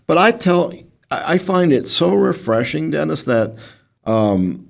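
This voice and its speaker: A man with a booming voice, a financial advisor talking as a podcast guest in a lively, goofy, storytelling way. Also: measured pace, gravelly. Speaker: guest, financial advisor who runs seminars. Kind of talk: casual podcast conversation.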